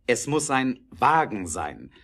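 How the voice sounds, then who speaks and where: A man acting an earnest, brooding, determined inventor in a German audio drama, with emphatic, deliberate delivery. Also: medium pitch, sing-song, a bit bright, exaggerated voice. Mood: resolute, impatient.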